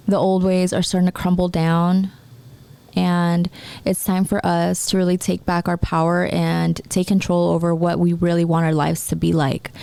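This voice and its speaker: A woman of twenty-three, raised in the United States, talking casually and haltingly in a personal podcast monologue. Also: lowish voice, creaky, dark tone, no room sound. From born in Mexico, raised in the United States. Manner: halting, restarts her sentences repeatedly. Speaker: podcast host and entrepreneur.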